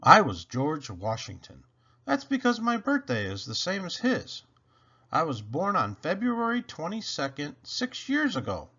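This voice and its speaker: A man's voice: on the high side, wildly swooping pitch, a little slow, sharp tone, lo-fi sound, voice pushed up, full character voice. A man voicing an earnest six-year-old schoolchild proudly declaiming as a president in a storybook school play. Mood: proud, declamatory.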